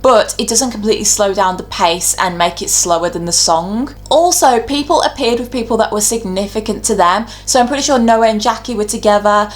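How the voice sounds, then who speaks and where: A woman talking casually and informally as a video host, giving her opinions in a chatty, conversational way. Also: medium pitch. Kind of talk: casual opinionated ranking commentary.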